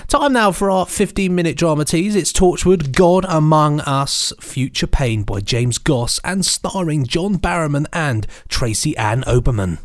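A man's voice: fairly high pitch, lively intonation, unhurried, few pauses, dry recording, studio quality. A man co-hosting a podcast in a playful, theatrical presenting style. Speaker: podcast co-host.

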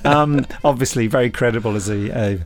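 A man's voice: mid-range voice, moderate pace. A man, co-hosting a podcast in casual, playful chat and often laughing at himself. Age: 50s. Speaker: podcast co-host.

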